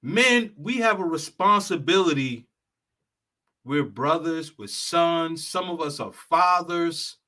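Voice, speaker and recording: Brief: high, bright-toned male vlogger, blunt and profane, lecturing the audience. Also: expressive, slow. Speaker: travel consultant and vlogger. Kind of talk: heated straight-talk monologue.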